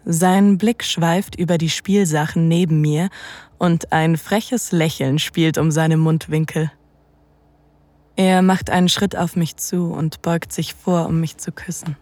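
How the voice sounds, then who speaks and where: Slow, breathy, sultry actress as dominant woman lover. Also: sits low, steady tone, darkish tone, no echo. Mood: sensual, slow. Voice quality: breathy, often near whisper. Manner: slow, sensual, teasing. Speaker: narrator and lead in an erotic audio drama. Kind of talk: intimate first-person scripted narration.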